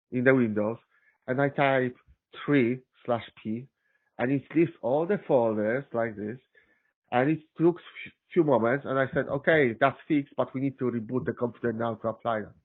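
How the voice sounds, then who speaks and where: Polish guy talking shop, stumbles and restarts a lot, sounds like a phone line. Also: medium pitch. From Poland. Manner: halting, many restarts and fillers, laughs often. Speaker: guest systems engineer. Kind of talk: casual interview chat.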